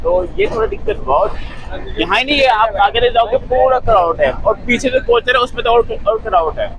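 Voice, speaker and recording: A man's voice: very high voice, expressive, fairly quick. A man in his twenties chatting informally as a travel vlogger, recorded on a phone aboard a crowded train. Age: 20s. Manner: chatty, informal, addressing viewers as friends. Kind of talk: casual travel vlog narration and chat with passengers. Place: crowded train carriages and railway platforms. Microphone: phone at arm's length. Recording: loud train and outdoor ambient noise.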